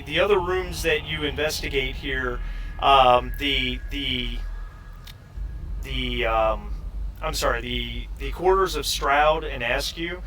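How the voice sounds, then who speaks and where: A man working as game master, narrating a tabletop roleplaying game in a halting, searching way. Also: on the high side, pushed and bright. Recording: slightly distant mic with faint noise.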